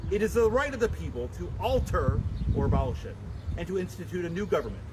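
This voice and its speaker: A man giving a dramatic, declamatory public reading of a historical document in deliberate oratory, outdoors. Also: high-pitched, very animated. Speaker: public reader at a commemoration. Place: outdoors at a memorial site. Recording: noisy outdoor live stream, traffic.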